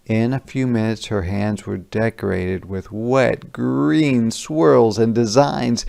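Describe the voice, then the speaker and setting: A man reads a children's story aloud like a teacher. His pace is slow and his mid-pitched voice has a creaky, gravelly edge. He stops to explain words in simple terms. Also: darkish tone. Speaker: teacher reading a picture book aloud.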